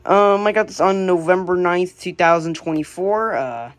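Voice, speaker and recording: An American woman casually showing a hobby collection, speaking in a halting, unscripted, plain way on an amateur home recording. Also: fairly low pitch. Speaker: radio collector presenting the collection. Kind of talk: casual hobby show-and-tell narration.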